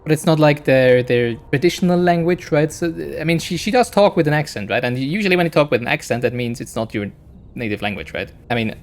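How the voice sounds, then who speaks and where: A man's voice: fairly high pitch, lively intonation, fast. A man streaming a game, chatting in a rambling casual way; English is not his first language. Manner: rambling, chatty, keeps adding "right?". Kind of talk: casual let's-play commentary.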